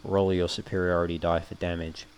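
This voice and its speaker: A man giving casual let's play commentary, thinking aloud in a measured, understated way and often reading game text out. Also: low-pitched, level tone, darkish tone, soft background music. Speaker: gamer narrating a playthrough.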